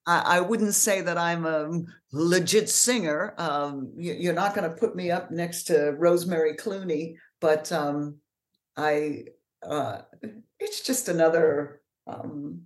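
A woman with a deeper voice, an author and actor talking frankly and with energy as an interview guest. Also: slow, reverberant. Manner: frank, lively storyteller. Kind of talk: casual interview conversation.

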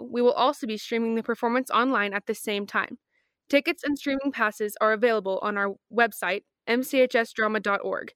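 Young woman announcer, lightly high voice. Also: a bit bright, no echo, clean studio sound. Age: young adult. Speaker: radio drama announcer. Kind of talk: scripted announcing.

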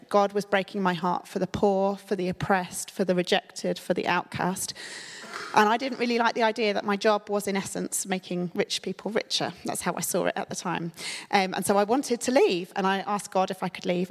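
Croaky-voiced woman preaching in a reverberant hall. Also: mid-range voice, fairly quick. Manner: warm, conversational preaching with many fillers. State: croaky from a cold. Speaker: church leader. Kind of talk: sermon. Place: church hall.

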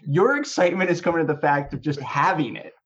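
A man's voice: on the high side, lively intonation, fast, a bit bright, lo-fi sound. A man co-hosting a podcast in casual chat, making blunt, pointed arguments. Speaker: podcast co-host.

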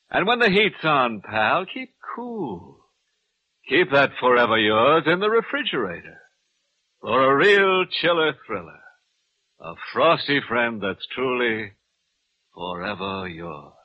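Macabre horror host, husky, slow, swooping intonation, sardonic wisecracks, vintage radio. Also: male voice, mid-range voice. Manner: sardonic, insinuating, punning, chuckling. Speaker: host of a radio mystery show. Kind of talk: 1940s radio horror hosting and sponsor read. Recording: muffled old radio recording.

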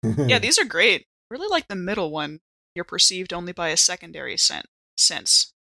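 A woman's voice: on the low side, wildly swooping pitch, normal speed, creaky, pushed and bright, no room sound. A woman playing a tabletop roleplaying game, chatting informally and improvising what her character does. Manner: informal, chatty, hedging with "kind of" and "like". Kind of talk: casual improvised game narration and banter.

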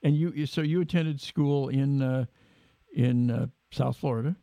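A man interviewing a guest, talking slowly and hesitantly with many fillers and restarts. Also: medium pitch, creaky, mellow, no room sound. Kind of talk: casual interview.

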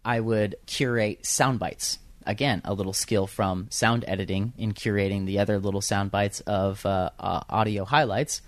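Young-sounding man, lowish voice, rambling. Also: steady tone, normal speed, few pauses, dry recording. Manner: rambling with many fillers and restarts. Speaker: guest, radio producer. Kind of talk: casual interview chat.

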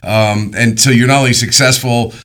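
A man's voice: medium pitch, fairly even intonation, moderate pace, a bit bright. A middle-aged American man hosting a casual radio and podcast interview, joking and emphatic, often repeating words for stress. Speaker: radio show and podcast host.

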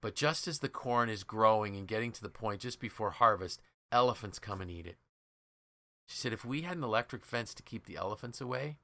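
A man with a fairly low, bright and punchy voice hosts a leadership podcast in a dry, close sound. He tells stories conversationally, with enthusiasm and emphasis, slipping into quoted dialogue as he goes. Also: vocal fry, no echo. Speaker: podcast host and leadership trainer.

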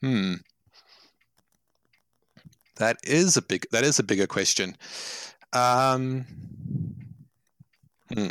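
South african guy in his 20s, slow and thoughtful, very dry sound. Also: mid-range voice, a bit bright, clean tone, no room sound. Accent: South African English. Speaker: guest. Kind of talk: casual podcast chat.

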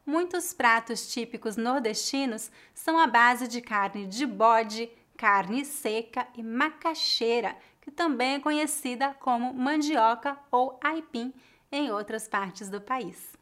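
Brazilian woman teaching, são paulo accent, slightly high voice, calm and clear. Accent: São Paulo Portuguese. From Northeast Brazil. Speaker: language teacher. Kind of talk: scripted educational narration.

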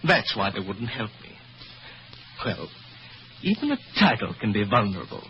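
A man playing an arrogant, brusque English expatriate gentleman in a radio drama, curt and demanding in manner. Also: fairly low pitch, big pitch swings, normal speed. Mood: demanding, indignant. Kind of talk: old-time radio drama acting. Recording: dull, noisy old radio recording.

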